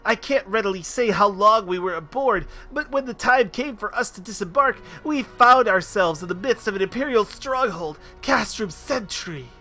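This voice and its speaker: Cheerful little mechanic read by a man, high and bright, stumbling storyteller, faint music behind. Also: animated, normal speed, few pauses, full character voice. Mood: recounting. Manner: storytelling, stumbling over words.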